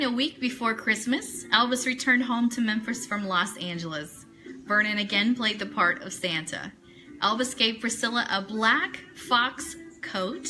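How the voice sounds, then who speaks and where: A woman's voice: medium pitch, bright, forceful tone, poor audio. An American woman hosting an online radio show live, chatting with viewers and reading items aloud in a chatty manner. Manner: chatty, greets viewers by name. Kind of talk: live-stream chat mixed with reading aloud.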